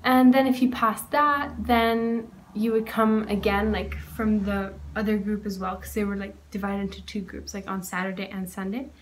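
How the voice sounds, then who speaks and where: A woman's voice: mid-range voice, steady tone, normal speed, few pauses, slight background noise, low-quality recording. Young woman from Greece, rambling chat. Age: young adult. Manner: rambling, lots of "like" and "um". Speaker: guest. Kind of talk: casual chat. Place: small indoor room at home.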